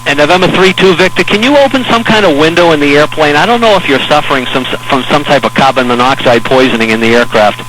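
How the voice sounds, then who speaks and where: A man's voice: a little high, a bit bright, low-quality recording. American male air traffic controller over radio. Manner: measured, hesitant, step-by-step reassuring. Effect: aviation radio. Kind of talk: instructional radio talk to a pilot in an emergency.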